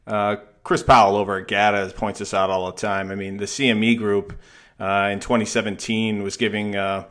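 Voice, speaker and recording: A man interviewing on a financial podcast in a conversational, somewhat halting way, feeling out his points as he goes. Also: lowish voice, level tone, a bit bright.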